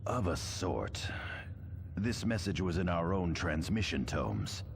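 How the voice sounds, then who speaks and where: A man playing a grim, stern veteran space marine officer in a video game cutscene, speaking gravely and with measured authority. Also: low voice, very animated, full character voice. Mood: grave, urgent. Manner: stern, measured military speech. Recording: loud game noise behind voice.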